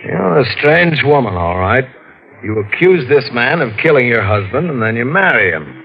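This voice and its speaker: An actor playing a skeptical, matter-of-fact police detective in 1940s radio acting. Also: male voice, mid-range voice, sing-song, moderate pace. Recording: muffled vintage radio recording.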